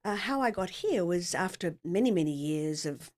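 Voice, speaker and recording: A woman's voice: on the low side, expressive, normal speed, a little mellow. A middle-aged woman with an Australian accent, telling her story casually and in a rambling way as a podcast guest.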